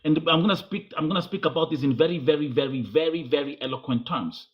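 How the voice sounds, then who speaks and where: A man's voice: a little high, fast, highs cut off. A man from Kenya hosting a confrontational interview, pushy and talking over his guest, switching between English and Swahili.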